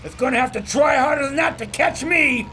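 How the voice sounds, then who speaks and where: A man's voice: very high-pitched, a little fast. A man playing a cocky, combative, swaggering pilot in a 1930s-style radio adventure serial. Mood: cocky, excited. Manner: swaggering, folksy, shouting in action. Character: cocky daredevil male pilot, brash and combative. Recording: loud aircraft noise behind the voice.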